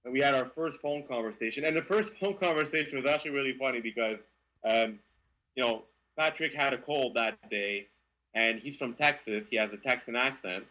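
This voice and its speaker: A man from Quebec with a Quebec French accent, telling stories as an interview guest in a lively, stammering way. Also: mid-range voice, fairly quick, rough recording. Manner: animated, frequent stammering restarts. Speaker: guest, car reviewer. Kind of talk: casual interview storytelling.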